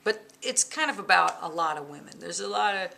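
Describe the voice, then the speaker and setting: A woman in her forties, a bass player and songwriter, chatting casually in an interview at home, lively with lots of fillers. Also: brisk, gravelly. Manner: lively, chatty, laughs often, many fillers. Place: living room at home. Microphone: camera in front, close framing.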